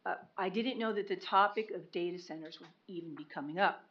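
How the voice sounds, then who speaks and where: Loud woman pressing questions at a meeting. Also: lowish voice, a little fast, muffled recording. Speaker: public commenter. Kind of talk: public comment at a county meeting. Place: county commission meeting room.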